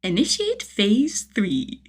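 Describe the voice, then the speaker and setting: A woman's voice: medium pitch, huge pitch swings, breathy edge, exaggerated voice. Woman as a clipped, scheming boy tactician. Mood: coolly tactical. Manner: clipped, mission-control formality, deliberate. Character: small scheming boy hero announcing tactical phases.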